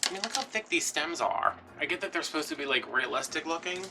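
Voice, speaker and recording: Chatty American man over loud music, slightly distant. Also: a little high, expressive, fast talker. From New Orleans area, USA. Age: late 30s. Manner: rambling, playfully dramatic storytelling. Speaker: craft vlogger. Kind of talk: casual chatty crafting commentary. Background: background music.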